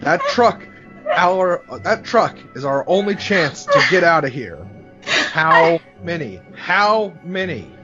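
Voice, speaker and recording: An actor playing a tough, urgent truck driver in a horror audio drama, talking in a folksy, slangy, commanding way. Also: male voice, on the high side, sing-song, takes it slowly, loud music behind the voice. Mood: frantic, pressing. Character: tough, urgent truck driver taking charge under siege. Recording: dull lo-fi recording.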